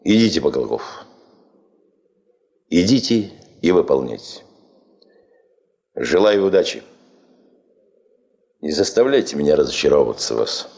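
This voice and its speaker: A man's voice: a bass voice, very animated, vocal fry, darkish tone, exaggerated voice. An actor playing a stern, curt male expedition boss in a 1970s Soviet radio drama. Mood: stern, commanding. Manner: curt, imperative, measured.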